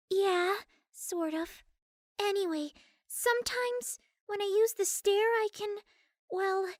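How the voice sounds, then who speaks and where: A woman plays a gentle, shy young cartoon pegasus mare. The voice is very high and halting, softly earnest, and recorded dry and close. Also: no echo.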